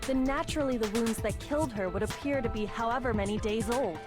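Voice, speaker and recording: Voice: mid-range voice, fast, over loud music, close to an everyday voice. A woman voicing a composed, reassuring student detective, reading from a game script. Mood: measured, assured.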